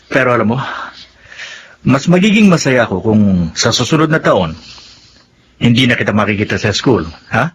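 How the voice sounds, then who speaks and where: An actor as a fatherly male teacher, kindly and firm, in a radio drama. He coaxes and encourages, mixing in English, at a middle pitch. Faint noise sits behind him. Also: sing-song, normal speed, pauses often, close to an everyday voice. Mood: encouraging. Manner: coaxing, firm, mixes English into Tagalog.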